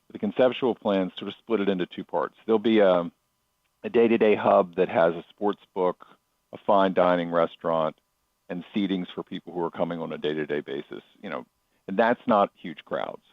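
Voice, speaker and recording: Low-voiced man, slightly dull roomy sound. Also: normal speed. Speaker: chairman of a racetrack authority, interview guest. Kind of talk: radio interview answers.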